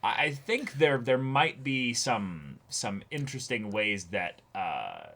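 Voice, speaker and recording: A young man co-hosting a casual, analytical discussion, haltingly thinking aloud as he goes. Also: mid-range voice, sing-song, a bit bright, a little hiss or hum. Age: young adult. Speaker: reaction show co-host. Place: small indoor recording setup, seated before a backdrop.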